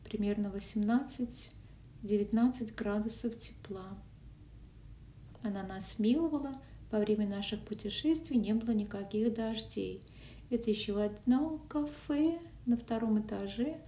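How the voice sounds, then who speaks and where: A woman narrating a casual food and travel vlog in a soft voice that sometimes drops to a whisper, recorded on a phone. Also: mid-range voice, a little slow. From Russian-speaking country. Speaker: vlogger. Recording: echoey, from a distance, faint noise.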